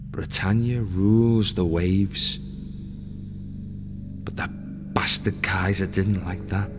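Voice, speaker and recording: A bitter, brooding dead crewman speaks slowly in a lowish voice, a husband and father grieving what he lost. Every line comes unhurried and heavy as the radio drama lets it sink in. Music plays clearly beneath his words. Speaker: actor in an audio play monologue. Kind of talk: scripted dramatic monologue.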